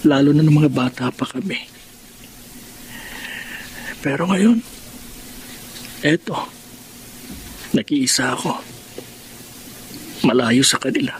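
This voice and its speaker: An actor playing a lonely, ailing, homesick young son in a Filipino radio drama, plaintive and Filipino-accented. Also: male voice, mid-range voice, slow pace, long pauses, a little hiss or hum, natural voice, low-quality recording. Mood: wistful, lonely. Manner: plaintive, self-pitying.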